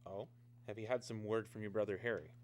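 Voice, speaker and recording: Stern, low-voiced army major played by a man. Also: steady tone, quick pace, lightly acted. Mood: insistent, probing. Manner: formal, clipped, insistent. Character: stern, officious, skeptical army major pressing for answers. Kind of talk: mid-century American radio drama acting.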